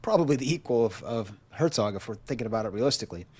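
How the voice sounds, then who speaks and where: A man's voice: mid-range voice, expressive, rapid-fire, darkish tone, husky. Nasal, congested man telling a story. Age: 40s. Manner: chatty storyteller, self-mocking, acts out quotes. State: nasal from a chest cold. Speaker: channel host. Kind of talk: casual spoken monologue.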